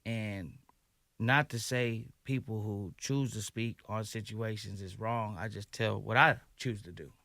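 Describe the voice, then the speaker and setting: A man hosting a radio show, speaking in a calm, measured and reflective way in the studio. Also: fairly low pitch, creaky, no echo. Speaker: radio host. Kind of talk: radio interview.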